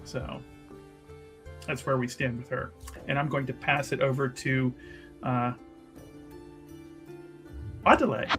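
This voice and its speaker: A man speaking casually as a tabletop roleplaying player, halting and rambling with pauses mid-thought. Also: medium pitch, flat delivery, fairly bright tone, faint music behind. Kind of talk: casual character introduction for an actual-play stream.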